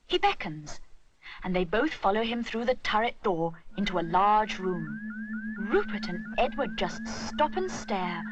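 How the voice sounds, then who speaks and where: Animated, slow-paced woman storyteller. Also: mid-range voice. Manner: expressive, dramatic. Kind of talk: children's storybook narration. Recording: noisy recording.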